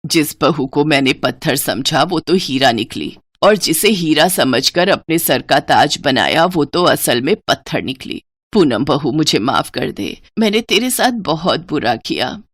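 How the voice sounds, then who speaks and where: A woman acts out an older Indian-family matriarch for a cartoon moral tale. Her voice sits low and is recorded dry and close. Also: expressive, unhurried, no room sound. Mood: regretful. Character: harsh scornful older mother-in-law, later remorseful. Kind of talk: cartoon moral story dialogue.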